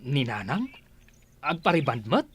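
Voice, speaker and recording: A plain-spoken young son in an Ilocano radio drama, played by a man. His voice is mid-pitched, with an Ilocano accent and a reasoning manner. There is faint noise in the recording. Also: very animated, a little slow. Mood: offering help. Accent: Filipino, Ilocano. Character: plain-spoken grown son helping his village father.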